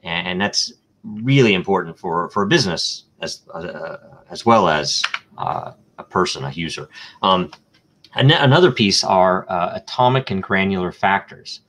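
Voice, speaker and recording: A man's voice: deep voice, lively intonation, moderate pace, fairly bright tone. A man answering questions as a guest in a live online interview, explaining step by step through examples and thinking aloud with frequent ums, uhs and restarts.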